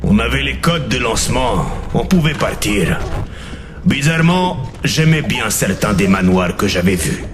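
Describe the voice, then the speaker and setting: An actor playing a weary soldier in a war game, narrating reflectively and wryly. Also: male voice, medium pitch, lively intonation, a little slow, noisy background, lightly acted. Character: weary, wry male soldier recounting war.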